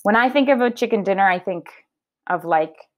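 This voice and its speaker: A woman's voice: medium pitch, expressive, moderate pace. A woman teacher giving a read-aloud lesson for children, explaining her thinking and asking checking questions as she goes.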